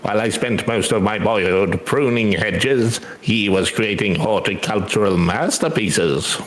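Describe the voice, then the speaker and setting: A man's voice: on the low side, close to an everyday voice. A man voicing an earnest, grieving gardener in a game read-aloud, solemn, formal and reverent. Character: earnest, grieving Doman gardener, devoted to his lord. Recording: roomy echo, music behind.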